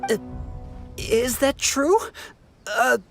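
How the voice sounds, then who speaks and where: A woman's voice: a little high, very slow, gravelly, faint music behind, exaggerated voice. A woman voicing a young archer recruit in a video game, timid but keen, with a hesitant, earnest manner. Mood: nervous, eager. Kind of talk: video game character dialogue.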